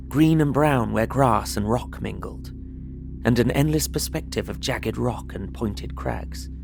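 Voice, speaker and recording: An actor playing an observant young gentleman traveller, narrating his journal in measured, literary audio drama style. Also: medium pitch, vocal fry. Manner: measured, descriptive storytelling.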